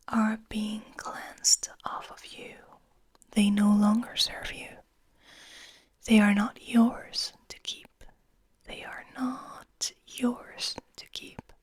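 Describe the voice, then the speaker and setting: A woman whispering breathily in a slow, soothing guided ASMR style, lingering on her words. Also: fairly high pitch, husky. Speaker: ASMR host.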